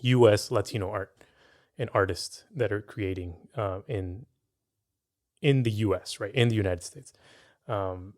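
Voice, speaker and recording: A man's voice: fairly low pitch, unhurried, pauses often. A man from San Francisco hosting an interview, posing a long, thoughtful question full of fillers and rephrasings. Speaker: interviewer, podcast host.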